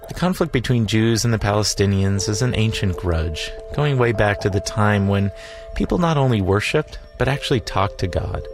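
A man's voice: on the low side, expressive, moderate pace, few pauses. A man narrating a travel documentary in a dry, wry, reflective way. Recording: voice-over with music behind.

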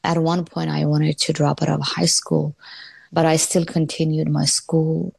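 A woman's voice: deep voice, level tone, a little slow, creaky, darkish tone, breathy edge, no room sound. A woman from Bangladesh, not a native English speaker, telling her personal story conversationally, often punctuated with "you know". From Bangladesh, moved to New York at eleven. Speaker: interviewee.

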